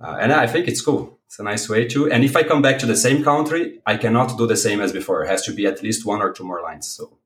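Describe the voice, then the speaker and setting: Low-voiced Brazilian man, playful. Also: quick pace, few pauses. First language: Portuguese. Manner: playful, self-deprecating. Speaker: podcast guest. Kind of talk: casual chat. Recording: echoey room.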